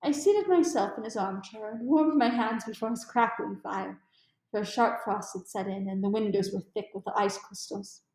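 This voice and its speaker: A woman's voice: mid-range voice, normal speed, darkish tone, reverberant, rough recording. A woman reading a classic story aloud as scripted narration.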